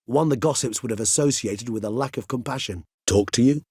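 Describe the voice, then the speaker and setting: A man's voice: medium pitch, a little fast, darkish tone, breathy edge, dry recording, studio quality, close to an everyday voice. A male actor playing a courteous, erudite and melancholy ancient vampire in a video game, speaking formally and eloquently with a wry, philosophical turn. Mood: measured. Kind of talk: video game character dialogue.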